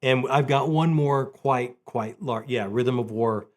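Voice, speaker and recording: A man hosts a book review video in a loose, conversational way. His voice sits at a medium pitch. He tells things like an animated storyteller, often repeating a phrase to drive it home. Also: unhurried. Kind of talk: casual conversational book chat.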